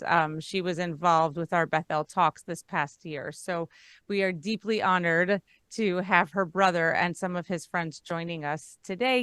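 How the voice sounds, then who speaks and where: A woman cantorial soloist moderating an interview and introducing guests warmly. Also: fairly low pitch, clear, resonant tone, no echo.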